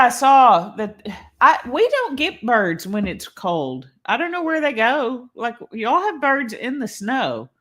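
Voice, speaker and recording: A woman's voice: on the high side, a bit bright. A woman vlogger chatting casually on a live stream, rambling and laughing often, a little congested. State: slightly congested. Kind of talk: casual live-stream chat while building a toy.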